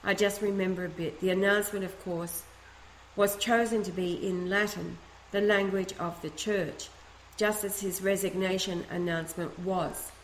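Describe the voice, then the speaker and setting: A woman reading a first-person letter aloud in a steady, earnest way, with a few small restarts. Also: medium pitch, normal speed, a little hiss or hum. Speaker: reader of a letter. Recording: echoey room, faint ambience.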